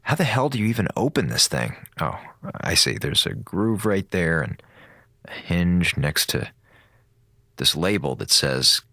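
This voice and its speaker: Low-voiced wisecracking space officer. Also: male voice, big pitch swings, a little slow, sharp tone, no echo, studio quality, full character voice. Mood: musing, curious. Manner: casual, sardonic, muttering asides. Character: wisecracking, laid-back male space station officer. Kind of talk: radio drama acting.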